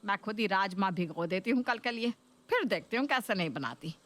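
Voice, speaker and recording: Woman playing a sharp-voiced nagging Indian mother-in-law. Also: mid-range voice, expressive, brisk, natural voice. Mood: sulky, taunting. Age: older. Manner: complaining, taunting. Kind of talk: Hindi cartoon story voice acting.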